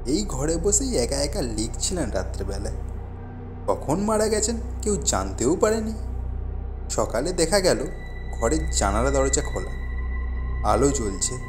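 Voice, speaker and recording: A man's voice: mid-range voice, big pitch swings, unhurried, pauses often. A man playing a humble servant in an audio ghost drama, speaking Bengali with a Hindi accent in a simple, deferential way. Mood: grave, recalling. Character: plain-spoken male servant recalling his late master. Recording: music behind the voice.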